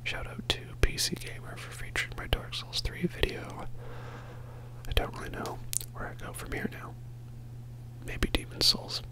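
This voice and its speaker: A man whispering a soft, rambling ASMR commentary over gameplay as host of his channel, joking about himself with quiet laughs. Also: normal speed, low-quality recording. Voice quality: whispering throughout.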